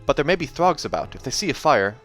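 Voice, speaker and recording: A man's voice: mid-range voice, big pitch swings, fast, a bit bright, faint music behind, voice pushed up. A man voicing a wary young wild woman in a storybook, speaking tersely and firmly with a wobbly put-on accent. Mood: firm warning. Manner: terse, firm, rustic phrasing with uncertain put-on accent. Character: wary savage young woman living alone in wilderness.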